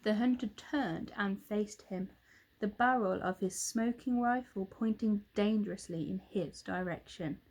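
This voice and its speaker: A woman narrating a children's storybook in a dramatic, expressive way. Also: mid-range voice, measured pace, poor audio. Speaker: storybook reader, teacher.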